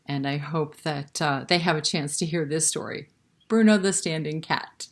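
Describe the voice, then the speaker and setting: A woman's voice: low voice, moderate pace. A woman hosting a library storytime, reading a picture book and chatting directly to young children with questions. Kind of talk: children's picture-book read-aloud and chat.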